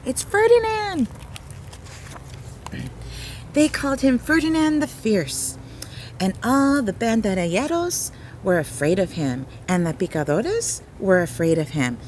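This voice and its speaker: A woman with a very lively, swooping delivery reads a children's picture book aloud as a storytime host. She is expressive and breaks off into simple asides as if showing things to little listeners. Some background noise sits behind her voice. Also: a little high, a little slow, pauses often, rough recording. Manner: expressive, simple explanations and exclamations, as to young children.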